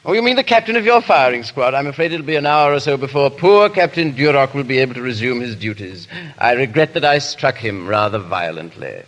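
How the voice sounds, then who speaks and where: A man's voice: medium pitch, expressive, fairly quick, hardly any pauses, fairly bright tone, natural voice. A man acting a suave, foppish English aristocrat hero in a 1930s radio drama, drawling and theatrically polite. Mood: mocking, breezy. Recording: reverberant 1930s radio broadcast.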